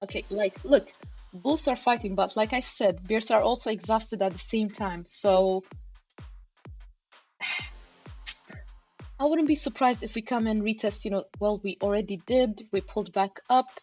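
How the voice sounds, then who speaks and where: A woman's voice: mid-range voice, measured pace, long pauses, a little mellow. A woman hosting a live market analysis stream, talking casually to her chat with lots of fillers like "you know" and "okay". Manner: chatty, many fillers, addresses chat directly. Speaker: crypto chart analysis livestream host. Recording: livestream with faint background music. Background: faint background music.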